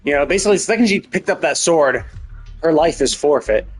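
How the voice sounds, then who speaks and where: A man with a slightly high voice talks through a game on stream in a casual, rambling way. He thinks aloud bluntly, with music playing behind him. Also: lively intonation, fairly quick. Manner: blunt, swearing. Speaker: live streamer.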